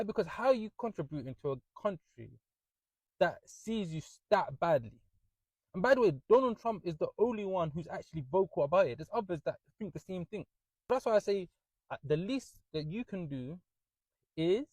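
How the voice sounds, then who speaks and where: A man with a London British accent speaks in an opinionated monologue. His voice sits a little high and is recorded very dry. He is earnest and repeats phrases for emphasis. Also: expressive, moderate pace, darkish tone, dry recording, rough recording.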